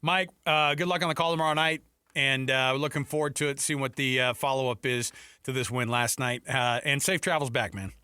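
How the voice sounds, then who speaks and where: A man's voice: medium pitch, fairly even intonation, brisk, pushed and bright, clean tone, dry recording, clean studio sound. A man hosting sports talk radio in a casual, chatty, joking way.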